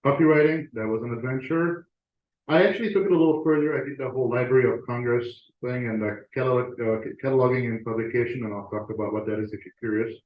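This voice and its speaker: A man gives a relaxed presentation to fellow club members, working through slides with fillers and restarts. His mid-pitched voice rings in a roomy meeting space. The recording is dull and lo-fi. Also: fast. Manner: conversational with frequent fillers and restarts.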